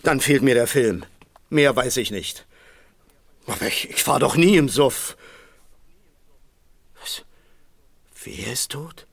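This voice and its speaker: An actor in a German radio crime drama playing a groggy, hungover male garage owner, halting, repetitive and defensive as he protests his innocence. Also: mid-range voice, animated, gravelly, no room sound, exaggerated voice. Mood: agitated, defensive.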